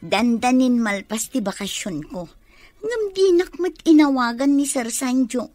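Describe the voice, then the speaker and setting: An actress playing an impatient, jealous, spoiled daughter in an Ilocano radio comedy drama, whiny and complaining. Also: female voice, sits high, expressive, unhurried, darkish tone, no room sound, soft background music.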